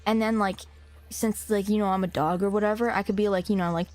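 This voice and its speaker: A young woman streaming, talking casually to her chat in slang and reading game text aloud, a little hoarse from a cough. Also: medium pitch, steady tone, fast, darkish tone. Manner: slangy, rambling, talks to chat.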